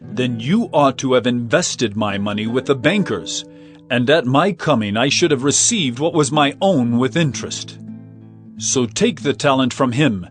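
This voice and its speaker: A man's voice: mid-range voice, lively intonation, measured pace, faint music behind, natural voice. A man playing an authoritative master of servants, stately and commanding, in a dramatized scripture reading. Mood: stern rebuke. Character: stern, authoritative wealthy master rewarding and judging servants.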